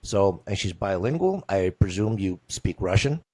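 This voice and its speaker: Low-voiced joking male host, dry sound. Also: big pitch swings, normal speed, mellow, husky, dry recording. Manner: jokey, rambling, repeats himself. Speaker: interview host. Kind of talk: casual interview.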